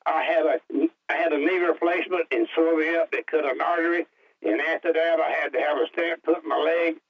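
A man's voice: a little high, fairly even intonation, a little fast. A man calling in to a radio show, chatting in a friendly, plain-spoken way. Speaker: caller. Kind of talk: phone-in caller chat. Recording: muffled broadcast audio.

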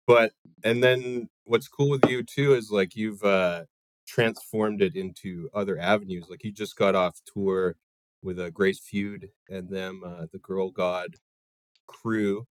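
A man's voice: fairly low pitch, fairly even intonation, measured pace, clean tone, dry recording. A man hosting a casual podcast chat, laid-back and enthusiastic, swearing freely. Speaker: podcast host.

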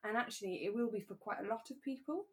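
A woman co-hosting a relaxed conversational podcast, talking in a candid, reflective and careful way. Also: a little high, quick pace. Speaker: podcast co-host.

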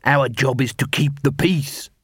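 A man reads a practical dwarf city watchman in an audiobook, at a middle pitch. He is nervy, urgent and plain-spoken, recorded dry. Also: measured pace, husky, dry recording. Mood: firm.

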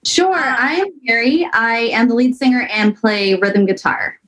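A woman's voice: on the high side, lively intonation, a little slow, a crowd in the background, poor audio. An American woman from the Seattle area chatting casually as a guest, rambling and hedging as she goes. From suburb of Seattle, US. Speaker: band singer and guitarist, interview guest. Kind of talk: casual chat.

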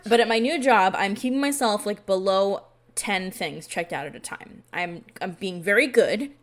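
A woman's voice: medium pitch, normal speed. Chatty, self-deprecating woman podcast host. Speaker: library professional. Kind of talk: casual bookish chat.

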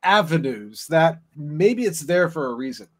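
A man's voice: a little high, lively intonation, a little slow. A man on a casual podcast who muses and speculates, restarting his sentences often. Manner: speculative, rambling with repeated restarts. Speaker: podcast guest. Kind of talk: casual chat.

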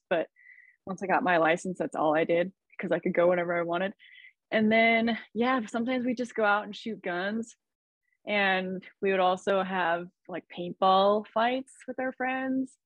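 A woman's voice: medium pitch, normal speed, no room sound, lo-fi sound. A woman from Idaho, a podcast guest, chatting in an upbeat way and laughing often. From Idaho, USA. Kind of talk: casual conversation.

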